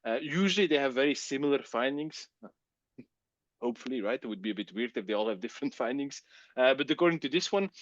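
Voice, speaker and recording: A Belgian man whose first language is Dutch, with a Flemish accent, presenting a technical webcast in a relaxed, chatty way with many fillers. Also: mid-range voice, fast, lo-fi sound.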